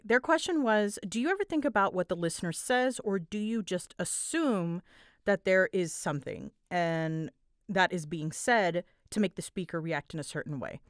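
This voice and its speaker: Low-pitched young woman, casual and chatty. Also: no room sound, studio quality. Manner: chatty, animated retelling with quoted asides and giggles. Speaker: audio roleplay creator answering fan questions. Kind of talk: casual Q&A chat.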